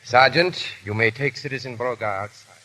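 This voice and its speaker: An actor playing a stern, sardonic French Revolutionary agent in a formal, commanding manner in a 1930s radio drama. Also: male voice, medium pitch, normal speed, bright, forceful tone, a little hiss or hum, close to an everyday voice. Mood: coolly triumphant. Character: stern, menacing male French Revolutionary agent, coolly triumphant. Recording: muffled-edged vintage 1930s radio broadcast.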